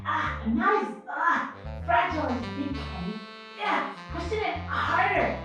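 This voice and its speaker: A woman's voice: very high voice, sing-song, slow pace, exaggerated voice. A woman playing an insistent crew member in a comic audio drama, heard distant in a very echoey space with music behind. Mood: pleased, insistent.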